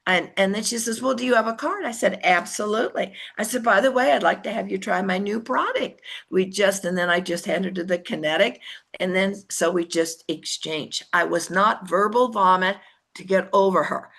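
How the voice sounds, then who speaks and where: An older woman giving a chatty motivational training talk on network marketing, acting out conversations in lively voices. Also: medium pitch, normal speed, gravelly.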